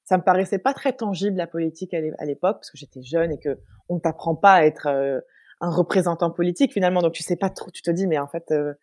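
A young woman from France, a guest talking in a casual interview, reflective and thoughtful, pausing often with fillers and restarting her sentences. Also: lowish voice, darkish tone, no echo, studio quality. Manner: reflective, many hesitations and restarts.

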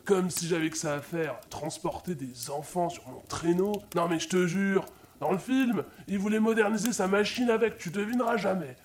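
An actor playing a grumpy, bumbling, old-fashioned Santa Claus who talks colloquially and hesitantly in a comedic audio drama. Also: male voice, high-pitched, slight background noise. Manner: colloquial, hesitant grumbling, easily swayed. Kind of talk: comedic audio fiction acting.

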